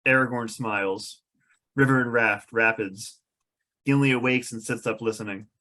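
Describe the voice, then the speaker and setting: A man's voice: medium pitch, fairly even intonation, normal speed, sharp tone. A man narrating stage directions from a fantasy script at a table read.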